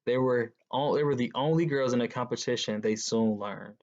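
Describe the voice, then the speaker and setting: A man's voice: mid-range voice, normal speed, darkish tone. A man reading a children's picture book aloud as a guest reader. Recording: dull, lo-fi sound.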